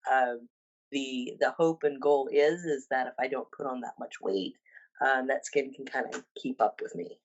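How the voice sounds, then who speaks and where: A woman's voice: a bass voice, steady tone, normal speed, highs cut off. A woman in her fifties, a personal trainer and bodybuilder, talking casually as a podcast guest with many ums and restarts. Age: 50s.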